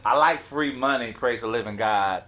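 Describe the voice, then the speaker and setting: A man's voice: medium pitch, moderate pace. A man leading a group workshop, joking at first and then speaking earnestly and gratefully to the young people. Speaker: workshop facilitator. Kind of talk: casual group chat. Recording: slightly dull sound.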